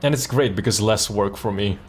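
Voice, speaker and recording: A man's voice: fairly low pitch, moderate pace, soft background music. A man who streams and voice acts, chatting informally and thinking aloud in hesitant bursts. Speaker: streamer and comic dub voice actor. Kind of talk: casual livestream chat.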